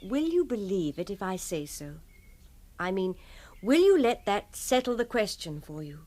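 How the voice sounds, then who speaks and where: A woman acting a perceptive, devoted gentlewoman in a radio ghost story. She sits in a middle register and phrases things in a measured, earnest, literary way, frank and quietly steadfast. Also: mid-range voice, big pitch swings, close to an everyday voice. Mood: gently reassuring.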